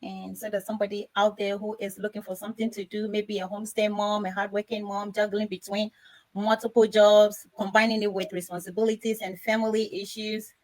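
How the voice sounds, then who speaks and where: A woman's voice: medium pitch, flat delivery, a little fast, a little mellow, poor audio. A woman from Ghana hosting a live panel discussion in an effusive, grateful manner. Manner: effusive, repeatedly thanking. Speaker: host.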